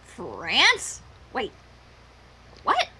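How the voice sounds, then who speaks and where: Woman co-reader, casual reactions, unhurried delivery with a very animated pitch. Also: husky.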